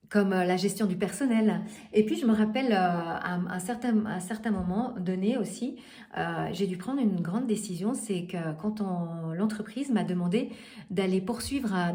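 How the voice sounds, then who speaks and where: A middle-aged woman coaching her listeners in a motivational talk. She speaks earnestly but haltingly, pausing often on fillers and restarting her sentences, at an ordinary middle pitch. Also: warm tone. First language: not French. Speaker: life coach.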